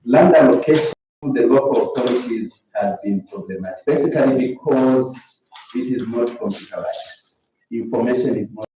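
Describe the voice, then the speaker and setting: A man's voice: mid-range voice, moderate pace, dark tone, slight background noise. A man with a Zambian accent giving an unscripted statement as a civil society officer. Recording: very echoey, distant microphone.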